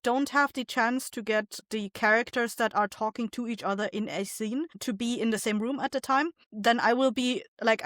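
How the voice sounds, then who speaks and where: A woman's voice: a little high, no echo, studio quality. A woman speaking as an interview guest, explaining her work as a director in a conversational style.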